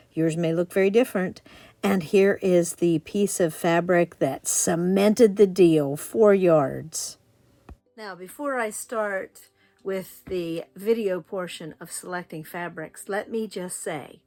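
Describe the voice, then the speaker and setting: A woman's voice: fairly low pitch, darkish tone. An older woman with a US East Coast accent hosting a quilting tutorial, chatty and encouraging. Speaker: quilting channel host.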